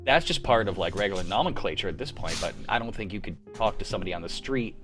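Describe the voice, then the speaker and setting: A man's voice: on the low side, animated, fast talker, a bit bright, faint music behind. A young man hosting a casual after-show chat on a video call, rambling with lots of fillers. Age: young adult. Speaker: host of a live radio-play reading show. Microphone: home mic on a video call.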